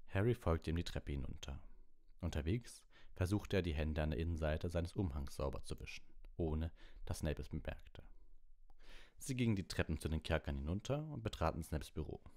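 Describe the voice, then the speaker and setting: A man's voice: rumbling low, a little mellow. A man narrating an audiobook in a storybook reading style.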